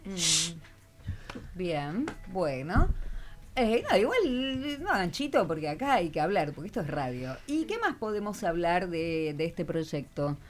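A woman's voice: on the low side, sing-song, unhurried, a little hiss or hum. A woman with a Rioplatense Argentine accent hosting an informal radio conversation with children, encouraging and conversational. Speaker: radio host. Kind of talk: informal educational radio interview with schoolchildren.

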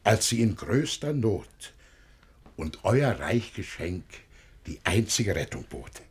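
Hearty old dad in a verse radio play, really raspy, swoopy delivery. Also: male voice, fairly low pitch, sing-song, takes it slowly, heavily acted. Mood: earnest. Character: hearty, self-assured old father of the groom. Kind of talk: theatrical rhymed-verse radio play acting.

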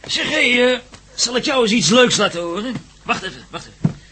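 An actor playing a cocky, wheedling adventurer in a radio play, talking in a familiar, coaxing way. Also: male voice, very high-pitched, expressive, normal speed, pushed and bright, a little hiss or hum, heavily acted. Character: cocky, teasing, wheedling adventurer with a tape recorder.

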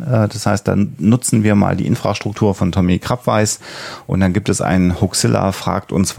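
A man speaks as a podcast co-host in a very deep register with plenty of vocal fry. His delivery is informal and directed at the listeners. The studio sound is very dry. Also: darkish tone, no echo. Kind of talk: casual podcast chat with listener announcements. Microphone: headset.

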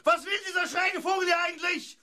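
A man's voice: extremely high pitch, fairly even intonation, brisk, pushed and bright, full character voice. A man acting an irascible, bossy director who barks exasperated orders in a radio play. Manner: exasperated, commanding, barking.

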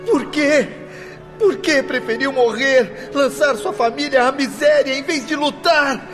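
A man's voice: very high voice, music in the background. A man acting in a radio drama as a grieving, reproachful grown son, lamenting in anguish. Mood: bitter reproach. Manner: anguished, lamenting, drawn-out delivery.

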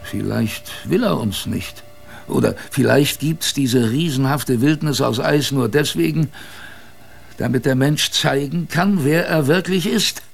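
An actor playing an old grandfather and polar expedition survivor, telling his tale tenderly and proudly in a radio drama, with reflective pauses. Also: mid-range voice, vocal fry, faint music behind. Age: older.

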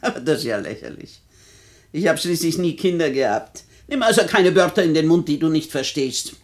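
An actress playing a bedridden, rich old widow in a 1960 German radio play, imperious, teasing and dryly mocking in articulate speech. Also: female voice, low voice, expressive, a little fast. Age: older.

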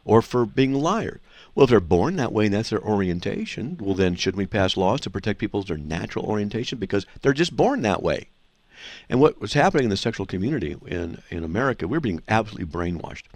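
A man's voice: on the low side, big pitch swings, fast, no room sound. A man hosting a call-in radio show, explaining scripture to callers in an assertive, teaching manner. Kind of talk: call-in radio Bible answers.

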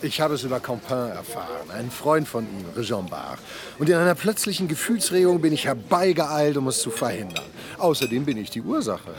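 A sentimental middle-aged bourgeois in a radio drama. He gushes theatrically, his pitch swinging freely. A crowd can be heard behind him. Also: big pitch swings, a little fast. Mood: jovial. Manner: gushing, theatrical. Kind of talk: German radio drama acting.

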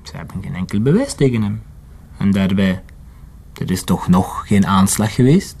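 An actor playing a menacing militant in an audio drama, taunting and deliberate. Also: male voice, sits low, expressive, darkish tone, breathy edge, full character voice. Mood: quietly menacing. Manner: taunting, deliberate, switching between Dutch and Spanish. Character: menacing Basque militant, interrogating man.

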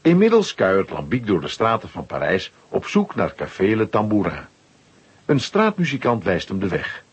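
A male narrator tells a children's story in a lowish, very husky voice. His delivery is scripted and highly animated, on a slightly muffled recording. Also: normal speed.

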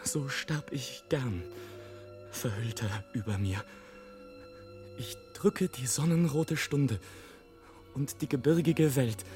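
Man acting a slow, rapturous balloonist over music. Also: medium pitch, natural voice. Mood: resigned. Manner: declamatory, poetic, swinging between hushed and impassioned. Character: lone male balloonist, rapturous, wrathful, death-defying romantic. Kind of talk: literary radio drama monologue. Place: studio radio production.

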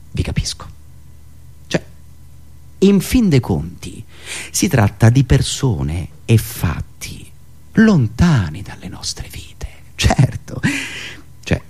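A man touched by speech, delivering a theatrical satirical political monologue in a sardonic, rhetorical manner, with deliberate pauses and repetitions. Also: lowish voice, darkish tone, slightly rough. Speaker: satirical monologist, radio show host.

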